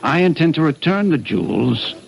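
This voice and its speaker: A scheming middle-aged businessman who talks calm and persuasive. His voice has a gravelly edge, and he speaks with quiet, easy confidence. Old-time radio drama. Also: on the high side, normal speed, rough recording. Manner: calm, persuasive, self-assured. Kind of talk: 1950s radio drama acting.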